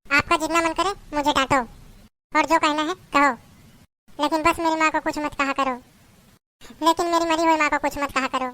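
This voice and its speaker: A woman's voice: high-pitched, fairly even intonation, normal speed, long pauses, pushed and bright. A woman playing a young daughter-in-law who pleads with and defends herself against her mother-in-law in a family drama. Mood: pleading. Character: young married daughter-in-law, meek and put-upon.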